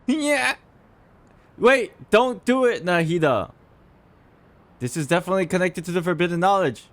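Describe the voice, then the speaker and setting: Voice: mid-range voice, lively intonation, normal speed, no room sound. A streamer giving casual, playful commentary on a game playthrough, improvising along with the story.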